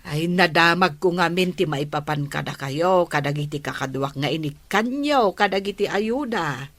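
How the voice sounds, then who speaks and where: A woman's voice: low-pitched, normal speed, a bit bright, no room sound. A woman playing a patient village captain in a Filipino comedy drama, with a Filipino accent. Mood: polite, grateful. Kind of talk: Filipino radio-style comedy drama acting.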